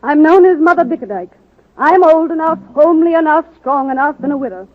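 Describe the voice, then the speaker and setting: Casting: actress as fierce middle-aged nurse, bossy and protective, notably high-pitched, vintage radio drama on narrow, tinny recording. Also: female voice, a little fast, close to an everyday voice. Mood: brisk, commanding. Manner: blunt, commanding, indignant. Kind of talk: 1930s-40s radio drama acting.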